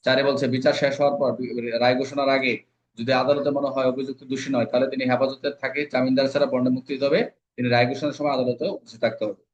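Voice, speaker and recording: A man's voice: medium pitch, flat delivery, very fast. A man with a Bangladeshi accent lecturing informally as an exam coach, repeating points, asking and answering his own questions and mixing in English legal terms. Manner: repetitive, drilling with rhetorical questions he answers himself. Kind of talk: informal lecture explaining legal code sections. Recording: dull, lo-fi audio.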